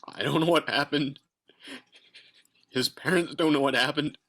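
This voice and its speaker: A man playing a hesitant, caring fast-food manager in an amateur table read, speaking haltingly and repeating himself. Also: on the high side, fairly even intonation, a bit bright. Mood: reluctant, grave.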